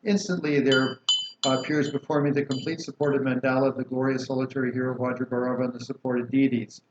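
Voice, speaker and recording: Guy leading a meditation session, calm explainy tone, echoey room, kinda muffled old recording w/ a bit of hiss. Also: medium pitch. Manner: calm, explanatory, drawn-out chanting of mantras. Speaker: Buddhist teacher leading practice. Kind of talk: guided meditation teaching and liturgy recitation. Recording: roomy, dull lo-fi recording with faint hiss.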